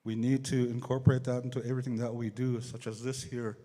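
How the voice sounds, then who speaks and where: Guy giving a speech at an assembly, soft dark voice, repeats himself a bit, big echoey hall. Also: mid-range voice, level tone, quick pace. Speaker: assembly speaker giving testimony. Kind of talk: unscripted public address. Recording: echoey large hall over PA.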